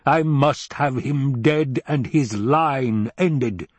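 Man acting a slow, menacing villain baron. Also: fairly low pitch, expressive, breathy edge, no room sound, heavily acted. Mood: stern, growling. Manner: slow, drawling, growling command. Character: domineering, menacing, indulgent older villain baron. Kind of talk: audiobook character acting.

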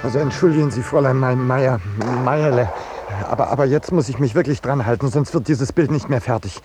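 An actor playing a peaceable, unworldly landscape painter in a 1950s German radio play, speaking in a dry, polite, reserved way. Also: male voice, mid-range voice, fairly quick, music in the background, lightly acted. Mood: flustered. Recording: noisy 1950s radio drama recording.

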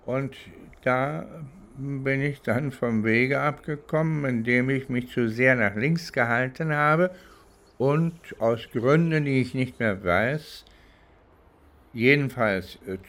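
Older male legal professional from East Germany, slow and deliberate, reflective interview delivery with frequent pauses. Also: medium pitch, animated, fairly bright tone. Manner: deliberate, halting, pausing between phrases. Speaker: legal professional recounting his experience. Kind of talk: reflective spoken recollection, interview-like.

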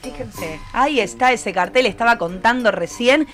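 A woman's voice: a little high, normal speed, fairly bright tone, soft background music. An Argentine woman hosting a casual live radio interview, encouraging her guests and prompting them with questions. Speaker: radio show host interviewing students. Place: radio studio. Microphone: studio microphone.

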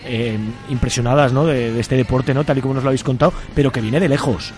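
Male radio host, Spain accent, quick chatty interviewer, colloquial and jokey, music underneath. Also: medium pitch, fairly even intonation, a little mellow. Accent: Spanish from Spain.

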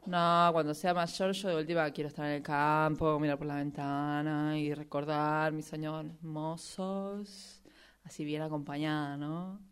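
Radio theatre: woman as a flirty, vain tango heel, lowish voice, Argentine accent, gossipy drawl. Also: level tone, measured pace, smooth. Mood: dreamy, flirty. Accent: Argentine Rioplatense. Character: vain, chatty, flirty female high-heeled tango shoe. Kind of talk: improvised radio theatre interview in character.

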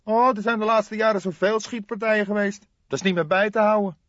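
A man voicing an excitable, impulsive young police detective in an audiobook, full of suspicious questions and exclamations. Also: high voice, brisk, dry recording, dull audio, voice pushed up. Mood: wry, earnest. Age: young adult. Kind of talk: audiobook character dialogue.

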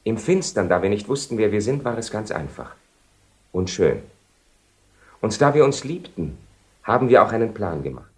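A defiant young bridegroom in an old radio play. His voice sits fairly low and moves through big, restless swings. The delivery is earnest, proud and theatrical. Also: very animated, moderate pace, pauses often. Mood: urgent confession. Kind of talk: 1970 German radio drama acting.

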